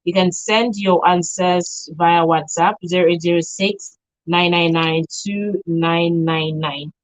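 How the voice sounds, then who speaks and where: A woman's voice: lowish voice, steady tone, slow, no echo, poor audio. A woman with a Nigerian accent presenting live as a radio show host. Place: radio studio.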